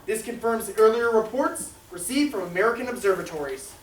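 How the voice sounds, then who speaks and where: A man playing a formal, urgent 1930s radio news announcer, measured and emphatic in a stage radio drama. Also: high voice, expressive. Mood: formal, grave. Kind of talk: 1930s radio bulletin acting. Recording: distant, echoey stage recording, faint noise.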